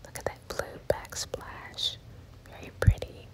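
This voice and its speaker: Woman, whisper-only ASMR host, very slow, lingering delivery, swooping whispered phrasing. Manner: soft unvoiced whisper, slow and admiring. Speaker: ASMR house tour host. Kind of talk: whispered ASMR house tour. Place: inside an empty house, walking through rooms. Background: rain sounds.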